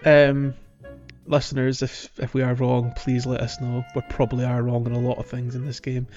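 A man's voice: mid-range voice, monotone, normal speed, a little mellow. A man co-hosting a podcast, chatting casually about gaming in a hesitant, rambling way. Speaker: podcast co-host. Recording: dull lo-fi audio, music behind.

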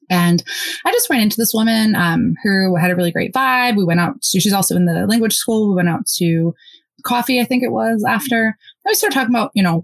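A woman from the United States, a podcast guest, telling her story quickly and excitedly. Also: medium pitch, no room sound. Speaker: interview guest. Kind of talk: casual podcast interview.

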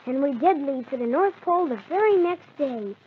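A woman's voice: sits high, expressive, a little fast. A woman playing a friendly young narrator of a children's adventure in an old radio serial. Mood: storytelling. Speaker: storyteller. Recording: tinny, faintly noisy old radio recording.

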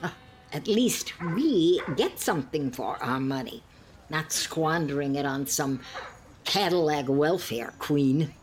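A woman performs an elderly lady in a radio-play style, genteel and passive-aggressive. Her delivery is slow and very expressive, on the lower side for a woman. She sighs and needles politely, with some noise behind her. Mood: huffy, insistent. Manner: prim, sighing, pointedly polite barbs. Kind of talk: scripted two-hander audio comedy-drama.

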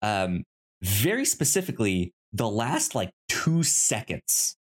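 A man hosting a podcast in a casual, chatty style, sometimes halting and repeating words as he searches for phrasing. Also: fairly low pitch, very animated. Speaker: podcast host.

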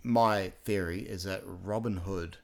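Male co-host, low and gravelly, loose digressive delivery. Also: steady tone, unhurried. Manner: rambling, digressive, self-correcting. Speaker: podcast co-host. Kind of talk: casual chat about films.

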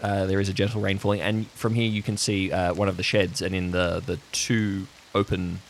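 Low-pitched man narrating as game master. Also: flat delivery, a little hiss or hum. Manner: halting, descriptive, addresses players as "you". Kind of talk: improvised descriptive storytelling.

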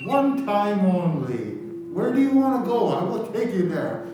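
A man's voice: high voice, very animated, unhurried, pitched above the performer's own voice. Mad evil doctor salesman, played by a man, pushy and zany, improv comedy, very echoey. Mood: gleeful. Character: zany evil doctor selling one-way trips off the world. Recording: echoey, distant video-call workshop recording.

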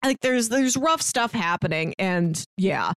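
A woman's voice: medium pitch, expressive, a little slow, no room sound. Chatty woman podcast host, dry sound. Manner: chatty, asks questions, then recaps at length. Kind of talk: casual chat recapping a show.

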